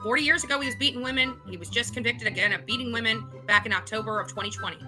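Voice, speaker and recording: A woman's voice: a little high, rapid-fire, music in the background, rough recording. A woman speaking off the cuff in an indignant, emphatic, self-justifying way, like a vlog host. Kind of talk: unscripted monologue to camera.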